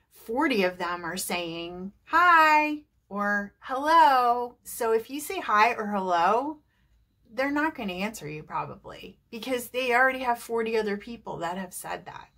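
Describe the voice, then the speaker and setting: A woman's voice: medium pitch, fairly bright tone. Chatty American woman teaching English. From New Jersey, United States. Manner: chatty, encouraging, asks rhetorical questions. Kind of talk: video lesson to camera.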